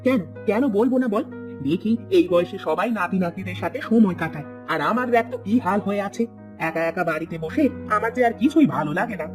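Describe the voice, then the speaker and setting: Nagging, fast-talking old mother acted out. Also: mid-range voice, lively intonation, lightly acted. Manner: insistent, coaxing, complaining. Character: nagging, wistful old village mother of grown son. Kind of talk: cartoon voice acting. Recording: music bed behind.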